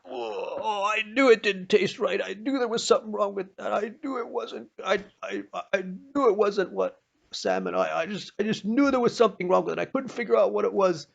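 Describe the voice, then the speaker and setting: In a slightly dull recording, a storyteller puts on an indignant, picky man fussing about his food. The voice is pushed well up high, sputtering and repeating itself in flustered disgust. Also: normal speed, creaky, heavily acted. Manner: exclaiming, sputtering, repeating himself.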